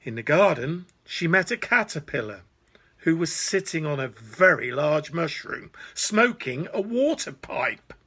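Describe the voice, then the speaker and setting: A man reading a children's bedtime story with an expressive, deliberate delivery. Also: fairly high pitch, breathy edge.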